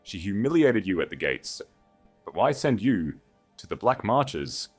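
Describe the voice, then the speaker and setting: Scarred, ruined duke, played by a male narrator: low voice, formal and grim, audiobook dialogue. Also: sing-song, unhurried, slightly rough, soft background music, full character voice. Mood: probing, bitter. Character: grave, guarded exiled duke, a scarred ruined man.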